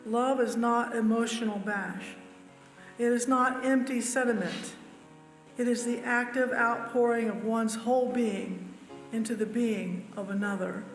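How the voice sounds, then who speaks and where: A man with a strikingly high-pitched voice reads quotations aloud. It is a reverberant hall recording with faint music behind. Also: fairly even intonation, a little slow, vocal fry. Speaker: church member reading. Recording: echoey hall, music underneath.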